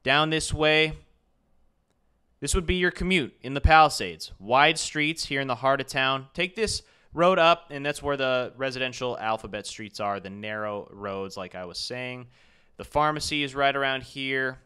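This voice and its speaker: A man's voice: medium pitch, moderate pace, bright, forceful tone, smooth. A young man, a real estate agent, talking loosely through a map tour of a neighborhood with casual fillers and restarts.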